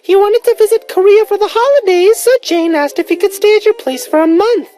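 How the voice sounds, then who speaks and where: A performer voicing a loud, bossy, teasing middle-aged mother who nags in an exasperated, theatrical way, in a comic radio skit. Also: extremely high pitch, brisk.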